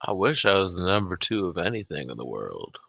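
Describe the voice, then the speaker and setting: A man's voice: deep voice, sing-song, fairly quick, gravelly, pushed and bright, no echo, muffled recording. A man in a casual chat, explaining things offhandedly, self-deprecating and swearing casually. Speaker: guest, software developer.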